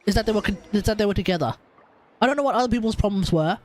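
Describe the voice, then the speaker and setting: A man's voice: high-pitched, rapid-fire, a little mellow. A man with an Australian accent giving casual, opinionated, rambling commentary on a gaming livestream. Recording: faint game music behind.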